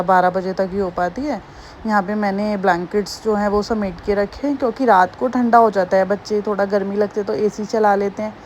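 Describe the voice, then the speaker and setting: A woman in her thirties with a slightly hoarse voice, chatting homely and rambling through her daily vlog. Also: mid-range voice, a little mellow, a little hiss or hum. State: hoarse, slightly sore throat. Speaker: vlogger. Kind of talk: casual daily-life vlog narration.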